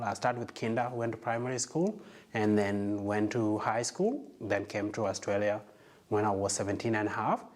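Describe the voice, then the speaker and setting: A young man from South Sudan, a medical student, earnestly telling his story in a casual interview. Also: lowish voice.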